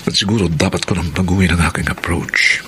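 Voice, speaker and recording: A man's voice: low voice, steady tone, a little fast, sharp tone, a little hiss or hum. A man with a Filipino accent acting a polite, smitten young suitor in a radio drama. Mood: pensive, resigned.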